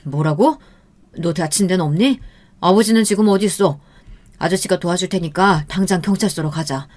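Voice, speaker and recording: A woman's voice: fairly low pitch, normal speed, a little mellow, close to an everyday voice. A woman voicing a kind, protective middle-aged father who talks earnestly. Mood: concerned.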